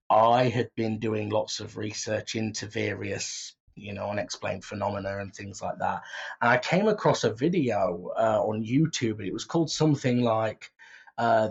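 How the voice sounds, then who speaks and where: A man's voice: on the low side, creaky, breathy edge, dull audio. A man talking casually in an interview as a writer explaining his work in a conversational way. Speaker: audio drama writer and creator.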